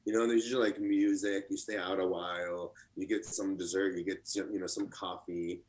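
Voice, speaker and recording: Male contestant, 30s, from Lebanon. Lowish, relaxed, rambling. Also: fairly quick, rough recording. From Lebanon, raised in the US. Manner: relaxed, rambling with many fillers. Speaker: artist contestant. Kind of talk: casual chat.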